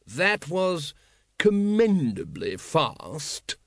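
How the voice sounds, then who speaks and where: A man voicing a calm, suave male ruler with a formal, understated manner in an audiobook. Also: a little high, creaky, no room sound, studio quality, voice pushed up, exaggerated voice. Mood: calm, dry. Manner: measured, unhurried, understated, formal. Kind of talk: audiobook character acting.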